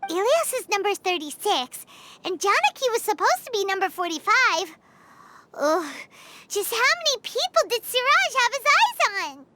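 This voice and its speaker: A woman voicing a small, childlike, chatty and blunt female companion creature in a video game, with a squeaky voice. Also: sing-song, normal speed, background noise. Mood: puzzled. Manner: refers to self in third person, blunt.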